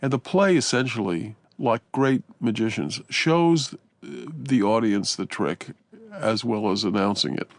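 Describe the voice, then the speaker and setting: A man giving reflective literary commentary in a deliberate way, with halting pauses and emphatic phrasing. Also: mid-range voice, animated, gravelly, dry recording.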